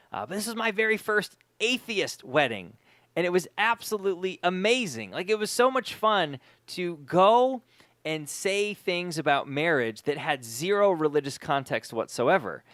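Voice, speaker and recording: Guy podcast host, lively swingy voice a bit high, dry close sound, repeats words for emphasis. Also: no echo. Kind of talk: casual podcast chat.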